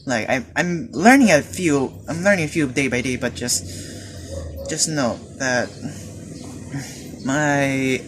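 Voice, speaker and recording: Hesitant young Filipino guy, slow. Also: mid-range voice, fairly bright tone, a little hiss or hum. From Philippines. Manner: hesitant, halting, many fillers and restarts. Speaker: student. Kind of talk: personal confession monologue.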